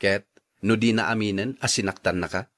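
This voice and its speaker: A man's voice: on the low side, steady tone, brisk, fairly bright tone, natural voice. An actor playing a blunt, exasperated man who questions a gossip in a radio drama.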